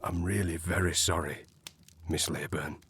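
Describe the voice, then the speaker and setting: An actor playing a halting, respectful, sombre manservant with a husky voice in a period audio drama. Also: male voice, sits low, steady tone, a bit bright, heavily acted. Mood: sombre, apologetic. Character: apologetic, deferential manservant of a great house.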